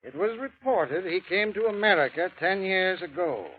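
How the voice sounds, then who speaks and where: An actor playing a scholarly professor who lectures in a measured, precise, formal way in a 1930s radio drama. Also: male voice, sits high, lively intonation. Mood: grave, informative. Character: scholarly professor recounting strange scientific history. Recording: hissy, narrow-band 1930s radio recording.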